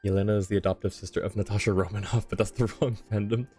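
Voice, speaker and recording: A man's voice: lowish voice, steady tone, fast talker, warm tone, husky. A man hosting a livestream, chatting casually and dropping dry, joking asides as he reacts to a game. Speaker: livestream host. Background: game music.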